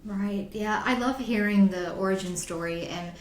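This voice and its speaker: A woman's voice: mid-range voice, moderate pace. A woman hosting a podcast, asking an author questions in an eager, chatty way. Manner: enthusiastic, chatty, laughs easily. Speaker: podcast host. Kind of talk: casual interview chat. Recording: roomy echo, faint background hum.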